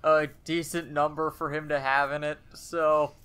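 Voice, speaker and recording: A man co-hosting a casual panel chat, speaking in a hesitant, halting way. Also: fairly high pitch, little pitch movement, sharp tone, smooth. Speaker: podcast co-host.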